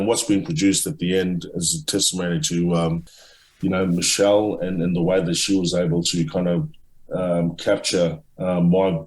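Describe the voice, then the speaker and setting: A deep-voiced middle-aged man speaks haltingly, circling back and restarting his sentences. His voice carries a noticeable room echo. He sounds like a guest giving long answers in a casual interview. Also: darkish tone. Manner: halting, many restarts and fillers. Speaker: author and guest.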